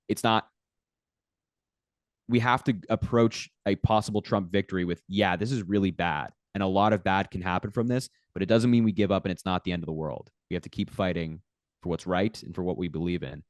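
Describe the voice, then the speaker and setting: A man's voice: low-pitched, clear, resonant tone, dry recording, clean studio sound. A young man in his twenties who grew up in Ireland, answering interview questions earnestly with plenty of fillers. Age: 20s. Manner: earnest, many fillers like "like" and "you know". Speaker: guest, young political activist.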